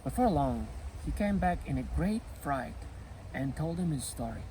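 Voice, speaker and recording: Man telling children's tales, very lively intonation. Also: a little high, normal speed, slight background noise, rough recording. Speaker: storyteller reading a folk tale. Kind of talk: scripted children's storytelling narration.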